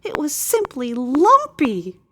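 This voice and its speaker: A fussy young princess performed by a woman complains in a raised, plaintive pitch. She is delicate and dramatic, a storybook damsel, recorded with clear background noise. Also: wildly swooping pitch, slow pace, bright, forceful tone, full character voice. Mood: aggrieved, complaining.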